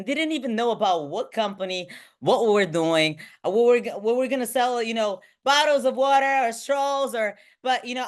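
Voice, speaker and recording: A woman in her thirties from Bulgaria leads a motivational online training as a sales team trainer, talking excitably and often checking in with short questions. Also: a little high. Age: 30s. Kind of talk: motivational online training talk to a live group.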